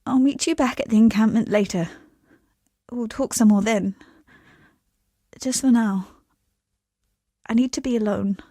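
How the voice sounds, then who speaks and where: An actress playing a grieving young woman exile in a video game, speaking in an earnest, subdued, halting way. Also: fairly high pitch, dark tone, no echo, clean studio sound. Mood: sorrowful, withdrawn. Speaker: game non-player character. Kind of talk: dramatic video game voice acting.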